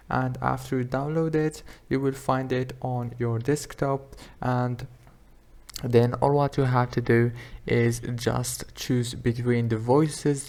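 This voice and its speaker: A man hosting a software tutorial, narrating simple instructions step by step. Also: mid-range voice, vocal fry. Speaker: tutorial host.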